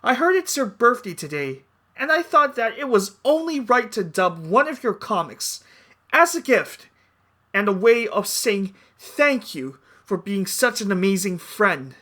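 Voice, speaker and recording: A man's voice: high voice, very animated, unhurried, sharp tone. A man speaking as host in a cheerful birthday message. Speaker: host, dub organizer.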